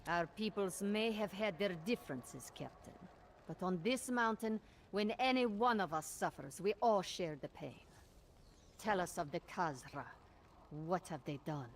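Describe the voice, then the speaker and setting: An actress playing a dignified, compassionate warrior monk in a video game, speaking formally and solemnly. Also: female voice, on the low side, unhurried. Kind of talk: dramatic video game acting. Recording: faint background noise.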